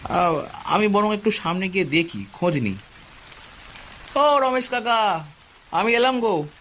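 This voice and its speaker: Cartoon villager, played by a man: young, anxious talker, high pitch, very lively delivery, repeats himself. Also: unhurried, slight background noise, heavily acted. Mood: worried. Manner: exclamatory, folksy, repeats himself. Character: anxious, chatty young village man, teasing older cousin. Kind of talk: cartoon voice acting.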